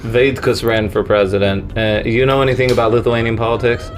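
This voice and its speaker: A man's voice: medium pitch, level tone, brisk. A man from the United States hosts a laid-back podcast with an easy mid-range voice. He rambles through his points and breaks into laughter as he goes. Faint music sits under the talk. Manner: rambling and jokey, laughs often. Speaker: podcast host. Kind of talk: casual comedic chat.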